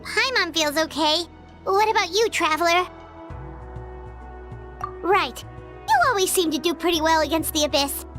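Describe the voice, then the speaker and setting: A woman voicing a small, childlike, curious fairy companion with a squeaky, perky voice in a video game. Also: moderate pace, pauses often, fairly bright tone, soft background music. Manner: refers to herself in the third person, perky.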